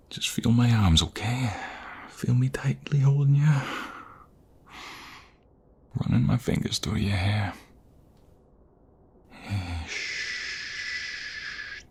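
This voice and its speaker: A man acts out a devoted, gentle boyfriend in an ASMR romance roleplay. His voice is very deep and creaky, and he takes his time with slow, soft, soothing words that often drop toward a whisper. He sounds tender and protective. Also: exaggerated voice.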